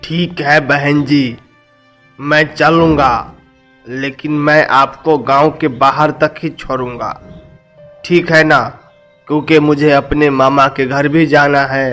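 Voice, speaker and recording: A reluctant but kindly rickshaw driver in a cartoon, voiced by a man. His voice is fairly high for a male and very even, polite yet firm, with faint music underneath. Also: slow pace. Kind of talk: dramatized Hindi cartoon story dialogue.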